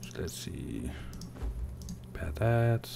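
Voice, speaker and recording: A man from Denmark with a Danish accent, casually commenting on his gameplay while reading and answering viewer chat in a soft, unhurried way. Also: sits low, sing-song, creaky, background noise. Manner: soft-spoken and unhurried, muttering while playing. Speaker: gaming streamer.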